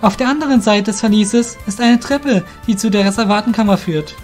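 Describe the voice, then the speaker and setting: A man's voice: high-pitched, brisk, soft background music, voice pushed up, close to an everyday voice. A man voicing an earnest young thief in an audio drama, focused and explaining things. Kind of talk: amateur audio drama acting.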